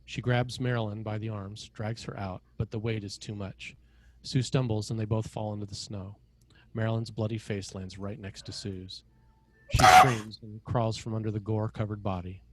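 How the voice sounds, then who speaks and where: A man narrating screenplay action and scene headings in a measured, dramatic way, in short phrases. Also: fairly low pitch, poor audio.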